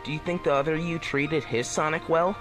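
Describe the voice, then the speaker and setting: A man's voice: fairly high pitch, fairly even intonation, brisk, over music, close to an everyday voice. A man playing an anxious young male hedgehog hero in a fan fiction audio drama reading. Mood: hesitant, worried.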